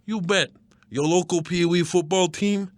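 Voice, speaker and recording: A man playing a grumpy, folksy, conspiracy-minded father-in-law in a scripted comedy sketch, gruff and emphatic. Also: high-pitched, steady tone, a little slow, dry recording. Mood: grumpy, smug. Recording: noticeable background noise.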